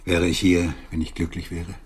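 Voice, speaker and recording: A man's voice: low-pitched, completely flat delivery, moderate pace, noisy background, lightly acted. An actor in a radio drama playing a stiff, self-important middle-aged businessman with stilted, formal speech. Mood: subdued. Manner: stilted, pompous formal courtesy, turning brusque.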